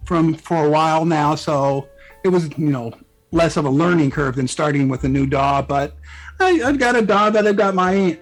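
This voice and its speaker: Higher-voiced man chatting, hesitant and rambling. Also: poor audio. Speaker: interview guest, fan fiction author and hobby musician. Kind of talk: casual interview chat.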